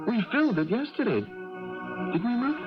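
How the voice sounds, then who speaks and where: An actor playing an excitable, easily bewildered man in a 1980s radio drama, with a questioning, halting delivery. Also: very high voice, music in the background, heavily acted. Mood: confused. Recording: muffled old radio broadcast.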